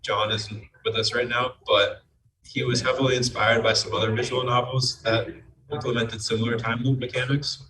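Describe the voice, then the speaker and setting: A man's voice: mid-range voice, little pitch movement, moderate pace, bright, forceful tone. A man explaining his work as a game programmer and writer in an interview. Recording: distant mic with crowd chatter behind.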